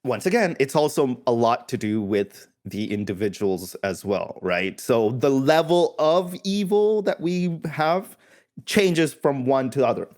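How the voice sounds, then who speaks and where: A man from India talks as a guest on a casual podcast, explaining things thoughtfully and often correcting himself mid-sentence. His voice sits at an everyday middle pitch. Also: animated, measured pace, a little mellow.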